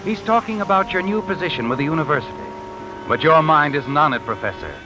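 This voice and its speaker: A man's voice: a little high, animated, fast talker, natural voice, rough recording. A man playing a sinister, all-knowing storyteller from old-time radio. His delivery rises and falls dramatically, needling and accusatory, with music behind him. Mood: ominous. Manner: insinuating, taunting second-person address. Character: ominous all-knowing male mystery narrator addressing the guilty. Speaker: narrator. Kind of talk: 1950s radio mystery narration.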